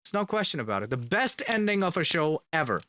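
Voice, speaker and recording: A man's voice: a little high, very animated, fast, sharp tone, a little hiss or hum. A man chatting casually on a live stream, reading fan questions aloud and answering them, repeating words for emphasis. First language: Finnish. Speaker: band member streaming to fans.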